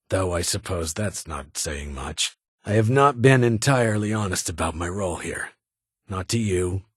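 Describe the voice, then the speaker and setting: An actor playing a stern, guarded army lieutenant colonel in a video game, with formal, clipped, duty-bound delivery and a gravelly edge. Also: male voice, on the low side, no room sound, studio quality. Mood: wary, measured, then grave, confiding. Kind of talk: video game dialogue acting.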